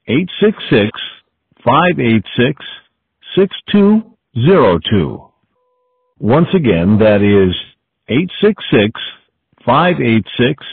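A man's voice: mid-range voice, slightly rough. A man reading a formal scripted survey message over the telephone. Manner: formal, carefully enunciated announcement. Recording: narrow, tinny telephone line.

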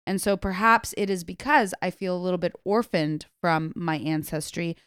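A woman's voice: fairly low pitch, fairly even intonation, moderate pace, smooth, dry recording, studio quality. A woman of Serbian family co-hosting a casual movie talk, candid and opinionated, often correcting herself mid-sentence. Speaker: film podcast co-host. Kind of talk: casual movie review chat.

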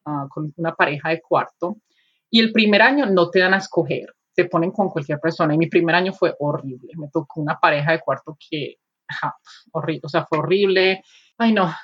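A young woman with a Colombian accent chats on a podcast, telling stories with lively ease. Her voice is fairly low and husky. The recording sounds dull and muffled. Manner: chatty, animated storytelling. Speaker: podcast co-host. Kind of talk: casual podcast chat.

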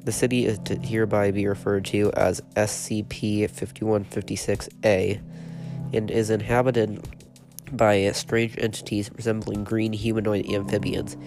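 A man hosting a podcast, stuffy from a cold, reading a written horror report in a steady, matter-of-fact way with a few hesitations. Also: lowish voice, moderate pace, over music. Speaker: podcast host and narrator.

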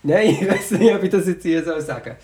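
A man with a Swiss German accent, co-hosting a podcast, playful with deadpan jokes. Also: high voice, quick pace, a loud crowd. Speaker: podcast co-host. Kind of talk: casual joking chat.

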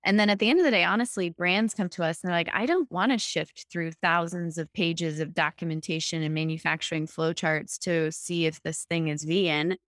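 A woman founder being interviewed, explaining her company's platform in a fluent, steady pitch. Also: fairly quick, hardly any pauses, no room sound, clean studio sound. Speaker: startup founder. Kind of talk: business interview.

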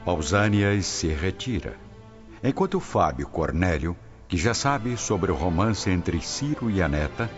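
A man's voice: low voice, big pitch swings, a little slow. A man with a Brazilian accent narrating a radio drama in a solemn, formal, theatrical way. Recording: muffled radio drama with music bed.

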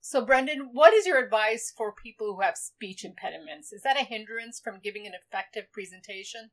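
A woman hosts a podcast interview, summing up the guest's point before asking her next question. Her voice sits in a middle pitch and she speaks in an encouraging, plain way. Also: expressive, a little fast, fairly bright tone. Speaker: podcast host.

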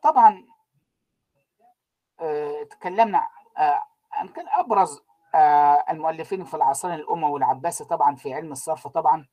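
A man giving an online university lecture, trailing off so students fill in the words, with frequent drawn-out fillers. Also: a little high, dark tone. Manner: keeps quizzing students with unfinished sentences, many drawn-out fillers.

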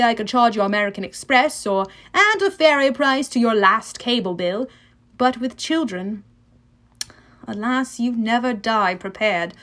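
A woman voicing a smooth, condescending male underworld ferryman with a British-leaning accent, as in an audiobook. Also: fairly high pitch, fairly bright tone. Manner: suave, drawling, condescending.

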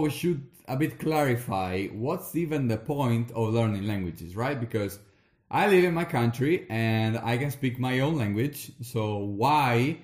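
A man's voice: mid-range voice, darkish tone. A young Italian man co-hosting a podcast, chatting casually with a friendly, hesitant manner and many fillers. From northeast Italy. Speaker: podcast co-host. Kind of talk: casual chat. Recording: roomy echo.

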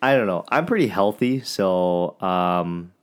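A man's voice: deep voice, expressive, slow pace, smooth. A young man co-hosting a podcast, sniffly and laid-back, with a dry, teasing manner. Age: young adult. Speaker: podcast co-host. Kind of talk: casual podcast chat.

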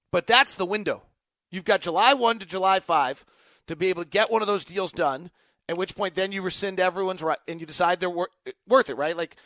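A male sports podcast host with a high-pitched voice, recorded dry and close. He talks off the cuff, working through his thoughts aloud in broken, emphatic phrases. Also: pushed and bright, no echo. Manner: thinking aloud, emphatic, choppy fragmented phrasing. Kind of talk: unscripted basketball talk, answering fan questions.

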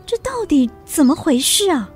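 An earnest society lady in a literary radio drama. Her high voice is theatrical and expressive, over faint music. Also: moderate pace. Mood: earnest, reflective.

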